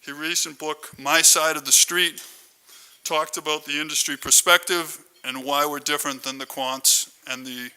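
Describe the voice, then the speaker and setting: A man's voice: on the high side, steady tone, moderate pace, sharp tone, smooth, poor audio. A man hosting a conference, giving a formal, prepared introduction speech. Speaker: conference host introducing keynote speaker.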